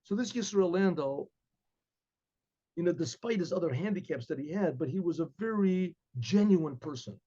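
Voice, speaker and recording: An older man with an American accent telling a story in a casual class, rambling, repeating words and clearing his throat often, on a webcam in a study lined with books. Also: on the high side, animated, normal speed, dark tone, muffled recording. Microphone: webcam at desk.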